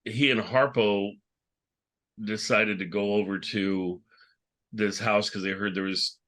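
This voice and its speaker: A man's voice: on the low side, steady tone, slow pace, a bit bright. A man chatting casually as a panelist in an informal discussion. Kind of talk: casual chat. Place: remote call for a virtual convention.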